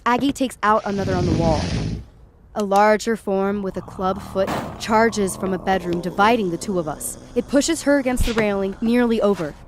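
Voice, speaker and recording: A woman's voice: mid-range voice, moderate pace, noisy background, close to an everyday voice. A woman playing a frightened, tripping young woman who narrates a supernatural night in the first person, tense and dramatic, in an audio horror drama. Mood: tense dread.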